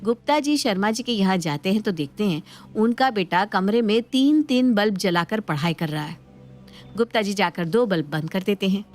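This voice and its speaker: A woman's voice: mid-range voice, expressive, moderate pace, faint music behind. A woman storyteller reading scripted narration for a moral story.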